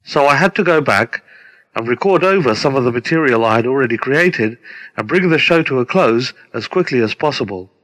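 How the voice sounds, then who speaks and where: A middle-aged man reading a scripted introduction as a presenter, deliberate and formal. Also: medium pitch, expressive, a bit bright. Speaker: presenter introducing his own radio play. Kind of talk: scripted narration. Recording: long hall-like reverb.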